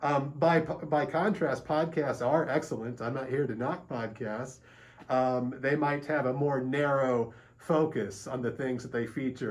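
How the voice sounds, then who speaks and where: A man with a medium-pitched voice talks as an interview guest from a radio station. He explains in a measured, agreeable manner, phrasing his points a little hesitantly.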